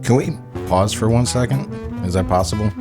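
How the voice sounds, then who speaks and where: An American man co-hosting a podcast, chatting casually in an animated way and swearing freely. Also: fairly low pitch, brisk, over music. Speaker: podcast co-host. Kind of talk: casual chat.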